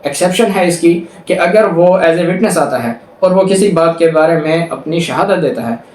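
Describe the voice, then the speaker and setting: A man's voice: on the high side, expressive, a little mellow. A man with a Pakistani accent giving a law lecture, reading out statutes and explaining them. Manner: slow, deliberate English reading, quicker Urdu explanations with English legal terms. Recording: echoey room, distant microphone.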